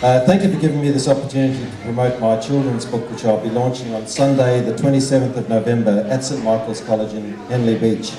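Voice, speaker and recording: Older guy w doing a formal measured speech, really mellow voice, echoey and a bit far from the mic. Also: medium pitch, fairly even intonation, a crowd in the background. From Zimbabwe. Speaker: guest speaker, art teacher and children's author. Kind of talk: prepared speech to an evening gathering. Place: large indoor function room. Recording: roomy, echoing hall sound, distant microphone.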